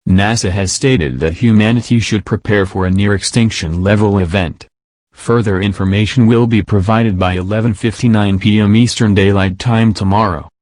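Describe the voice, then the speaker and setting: A man's voice: on the low side, steady tone, moderate pace, a little mellow, no room sound, lightly acted. A man's voice, possibly synthetic, playing a stern, impersonal announcer reading a scripted emergency broadcast. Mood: grave, formal. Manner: formal, impersonal.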